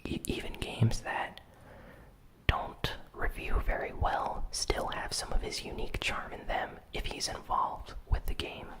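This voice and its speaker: A host giving a whispered ASMR recap of gaming news, in a soft unvoiced whisper with relaxed, casual asides. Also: low-quality recording. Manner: unhurried, with frequent "you know" and "uh" fillers.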